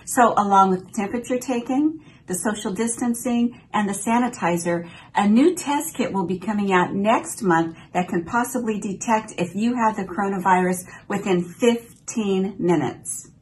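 A woman's voice: mid-range voice, normal speed, rough recording. An older woman presenting cruise news updates from her home office, in an upbeat, newsy manner, recorded at her desk. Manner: upbeat, newsy delivery reading from notes. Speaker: travel vlogger. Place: small home office, indoors.

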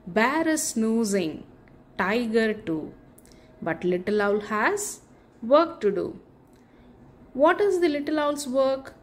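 A woman reading a children's picture book aloud as a bedtime story, in an expressive, deliberate storytelling manner with drawn-out phrases. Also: on the high side.

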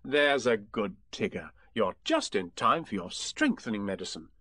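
A man voicing a kindly but brisk mother kangaroo in a children's story, gently giving orders. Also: lowish voice, big pitch swings, dry recording, clean studio sound, heavily acted. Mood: motherly, brisk.

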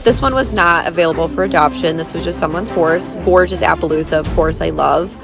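A woman's voice: lowish voice, brisk, creaky, music in the background. A woman in her thirties narrating a casual vlog in a gushing, enthusiastic manner. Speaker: vlogger and horse owner.

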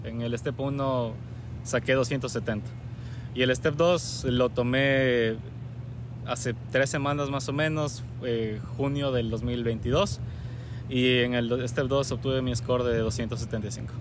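A young man from Ecuador, answering interview questions in a calm, measured way with frequent pauses. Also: medium pitch, noisy background. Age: young adult. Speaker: guest, recent medical graduate. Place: outdoors on a university campus plaza with trees.

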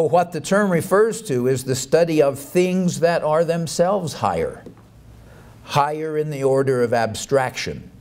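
A middle-aged man reads a formal academic lecture aloud in a measured, deliberate way at a lectern microphone. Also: medium pitch, darkish tone. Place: indoor conference hall, at a lectern. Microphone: boom microphone on the lectern.

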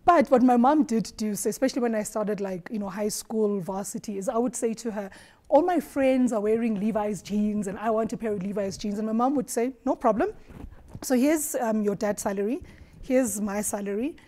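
A woman from Eswatini on a studio panel, telling stories in an animated way and laughing often, recorded on a lapel mic. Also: fairly high pitch, moderate pace. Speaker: marketing executive panelist. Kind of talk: roundtable discussion. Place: dark studio set at a round table.

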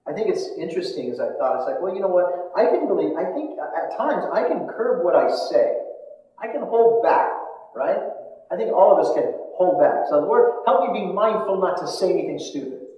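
A man's voice: high-pitched, big pitch swings, moderate pace, a little hiss or hum. An older man, a pastor with an American accent, preaching a conversational, informal sermon and repeating phrases for emphasis. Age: older, around sixties. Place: church stage in a hall, indoors. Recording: echoey hall from far off, slightly dull.

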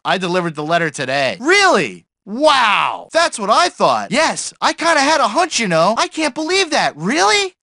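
Video game mailman: very high male voice, animated swings, self-important, gossipy, whiny bluster. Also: normal speed, gravelly, few pauses, no echo, studio quality, heavily acted. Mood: chatty gossipy. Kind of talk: video game character barks and chatter.

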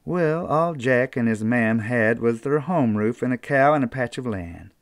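An older man with an Appalachian accent, reading a children's storybook aloud in an unhurried, folksy way. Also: medium pitch, animated, no echo, clean studio sound. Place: small book-lined room indoors.

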